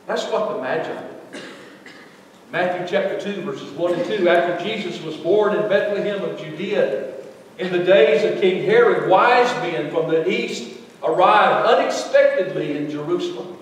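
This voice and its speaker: Preacher in an echoey sanctuary. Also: male voice, high voice, normal speed, slight background noise. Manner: emphatic preaching, swings from loud to soft. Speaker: pastor. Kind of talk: sermon. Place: large church sanctuary. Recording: echoey hall, voice from far off.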